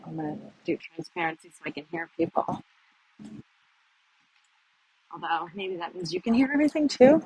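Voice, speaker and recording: A woman's voice: fairly low pitch, very animated, fast, a little mellow, rough recording. A middle-aged woman from the United States, rambling and thinking aloud as she films a casual walking vlog outdoors on city streets. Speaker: vlogger. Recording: faint street noise behind.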